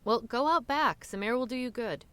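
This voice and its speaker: A woman's voice: on the high side, moderate pace, fairly bright tone, smooth, natural voice. Firm, exasperated daughter, played by a woman. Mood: firm, pleading. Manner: firm, reproving, plain-spoken. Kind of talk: audio drama acting.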